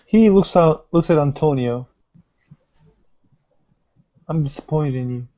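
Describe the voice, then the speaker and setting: A man's voice: a little high, unhurried. A man improvising narration during a tabletop roleplaying game. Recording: dull lo-fi online call audio.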